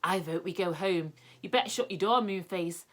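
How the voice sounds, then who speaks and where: A woman voicing an eager young boy in a children's story, quick and a little alarmed. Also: on the low side, fairly bright tone, natural voice. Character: lively young boy adventurer.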